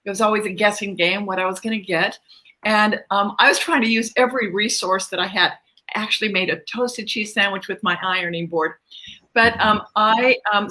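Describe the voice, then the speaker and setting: Older woman with a bright voice. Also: medium pitch, poor audio. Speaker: guest author. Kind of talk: conversational personal storytelling.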